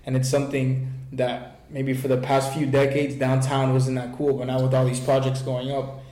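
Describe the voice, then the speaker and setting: A man's voice: mid-range voice, normal speed, roomy sound. A man hosting a casual conversation, talking with energy and often checking "right?". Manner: energetic, keeps saying "right?". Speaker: host.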